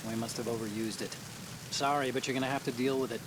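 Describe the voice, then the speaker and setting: Man voicing an earnest young tech guy on the radio, explains a lot, loud rain noise. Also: mid-range voice, steady tone, quick pace, natural voice. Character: earnest young male engineer on radio support. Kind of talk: video game radio dialogue.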